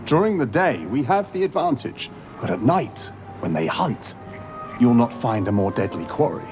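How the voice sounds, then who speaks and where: Man acting a wry, expressive wandering monster hunter. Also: medium pitch, music in the background, close to an everyday voice. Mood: grave. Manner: sardonic, formal, measured. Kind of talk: video game character acting. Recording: clear background noise.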